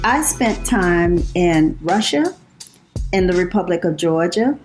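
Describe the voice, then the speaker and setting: An older woman from New Orleans introduces herself in a recorded video. She speaks in a steady, reflective way, and her voice sits a little low. Faint music plays softly underneath. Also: slow.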